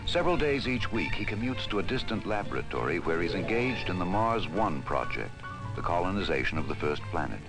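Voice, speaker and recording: Deep-voiced 1960s educational film narrator, a man. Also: sing-song, poor audio. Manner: measured, formal, deliberate delivery. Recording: 1960s film soundtrack with music behind. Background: music.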